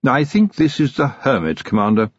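A man voicing a polite, composed male butler who speaks in a measured, deferential way. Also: mid-range voice, very animated, no echo, pitched above the performer's own voice. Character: calm, proper male butler. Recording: dull, dry, lo-fi recording.

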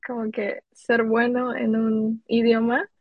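A young woman from Venezuela with a Venezuelan accent, a guest in a casual chat, animated and agreeable. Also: fairly high pitch, takes it slowly, no room sound. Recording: dull, dry lo-fi sound.

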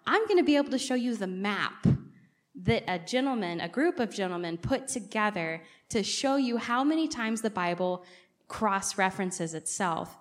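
A woman, a guest preacher and native English speaker, giving a conversational church sermon and often putting questions directly to the congregation. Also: mid-range voice. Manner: engages listeners with frequent direct questions.

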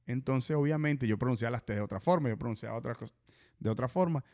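Relaxed podcast host, slangy chat, keeps calling his guest brother. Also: mid-range voice, fast. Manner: slangy, says brother a lot.

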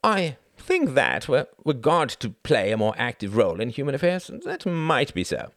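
A man reading aloud, voicing a cool, wry male British diplomat who speaks in a measured, mildly condescending way. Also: mid-range voice, sing-song, no room sound, studio quality, pitched above the performer's own voice.

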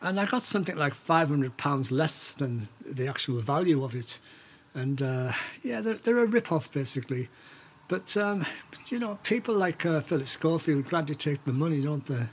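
Husky older man rambling on a podcast. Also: fairly high pitch, lively intonation, normal speed, low-quality recording. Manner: hesitant, digressive, opinionated, wry. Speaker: podcast host and amateur musician. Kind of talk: casual rambling solo podcast chat.

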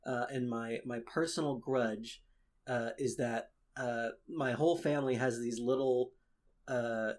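A man's voice: medium pitch, steady tone, a little slow, a little mellow. A man in a casual tabletop game podcast, hesitantly describing his character with many fillers. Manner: hesitant, full of uh and um.

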